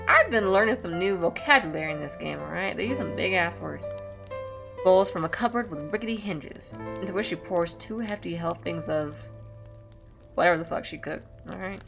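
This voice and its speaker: A woman's voice: fairly low pitch, expressive, normal speed, vocal fry. A woman reading a visual novel aloud as a gaming YouTuber, with casual, blunt, sweary asides. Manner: blunt, sweary reactions between read passages. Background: music.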